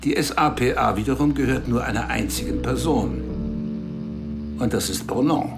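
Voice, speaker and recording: An actor playing a calm, menacing male spymaster who questions a subordinate in a radio drama. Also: mid-range voice, a little slow, close to an everyday voice. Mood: cold. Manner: calm, cold, interrogating. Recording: studio radio play with music behind.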